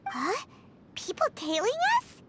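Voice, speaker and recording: A woman voicing a tiny, excitable childlike fairy companion in a video game, squeaky and exclamatory. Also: a little slow, very noisy, heavily acted. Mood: surprised. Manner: exclamatory, cute. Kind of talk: video game character acting.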